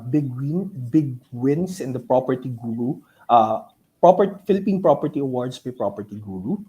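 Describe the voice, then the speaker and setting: Mellow, halting Filipino man presenting. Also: mid-range voice. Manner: halting, ends phrases with a tag "no?". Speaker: company investor relations presenter. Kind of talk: business presentation to investors. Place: virtual call.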